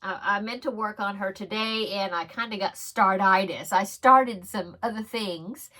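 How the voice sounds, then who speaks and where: A woman talks through her crochet projects in a relaxed, rambling way, full of ums and little giggles. One side of her nose is stuffed up, which gives her voice a slightly blocked sound. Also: medium pitch, unhurried, rough recording. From Texas. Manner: chatty, giggly, rambling with frequent fillers. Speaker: crochet podcast host. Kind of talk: casual show-and-tell chat.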